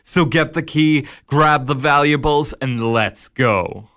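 A slow, mocking Devil. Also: male voice, a little high, a bit bright. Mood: coaxing. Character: the Devil, smooth, amused male tempter. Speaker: narrator and tempter. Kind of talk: radio drama acting.

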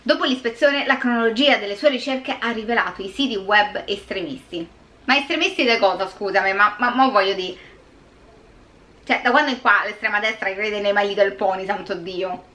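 A woman's voice: mid-range voice, normal speed, pushed and bright. A young woman, retelling a true crime story in a casual vlog, breaking off often for sarcastic, exasperated remarks. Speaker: YouTube true crime host. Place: small indoor room with purple lighting.

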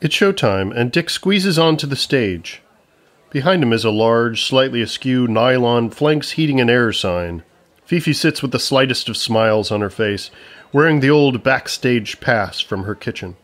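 A man's voice: medium pitch, expressive, unhurried. A man reading scripted narration for a comedy story, as its narrator.

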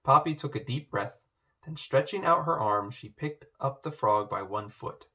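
A man reading a children's picture book aloud as narrator, in an expressive way. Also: mid-range voice, normal speed, poor audio.

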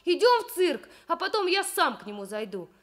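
A woman voicing an excitable, evasive schoolboy who blurts out stubborn excuses in a children's radio story. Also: very high voice, normal speed, voice pushed up. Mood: pleading, insistent. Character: schoolboy Kostik, evasive, pleading, excitable little boy.

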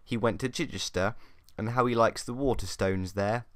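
A man's voice: lowish voice, moderate pace, fairly bright tone, no room sound. A young man hosting casual gameplay chat in a dry, teasing manner. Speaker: gaming video host.

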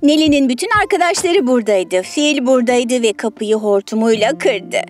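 A woman's voice: fairly high pitch, expressive, moderate pace, a little mellow, faint music behind. A woman storyteller narrating a children's story in a scripted read.

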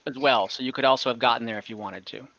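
A man's voice: mid-range voice, fast talker, pushed and bright, no echo. A young man on a headset, acting as dungeon master and casually checking rules on a video call. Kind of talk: running an online tabletop game. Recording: dull, dry video-call audio.

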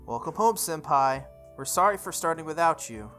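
A woman's voice: sits low, lively intonation, moderate pace, faint music behind. A woman voicing a modest, polite teenage schoolgirl eager to please, in visual novel dialogue. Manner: polite, gentle wording.

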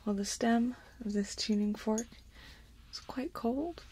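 A woman in her thirties doing a soothing, gentle ASMR role play close to the microphone, guiding the listener step by step. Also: fairly high pitch, slow, slight background noise. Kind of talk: ASMR, whispered and soft-spoken personal attention role play. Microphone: close microphone, facing camera.

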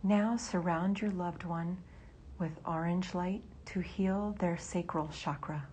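Woman, meditation guide, soft breathy near-whisper, unhurried, faint background noise. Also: fairly low pitch, steady tone, dull audio.

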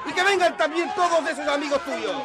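An actor playing an enraged, vindictive betrayed husband in a radio drama, indignant and abusive. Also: male voice, extremely high pitch, a little fast. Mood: jeering. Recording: crowd clamor behind.

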